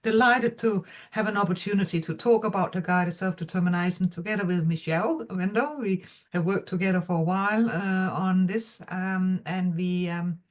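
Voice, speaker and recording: A woman with a Danish accent presents her research at a seminar, speaking over a video call. She talks haltingly, with frequent pauses and fillers, and her voice sits somewhat low. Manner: hesitant, with many pauses and fillers.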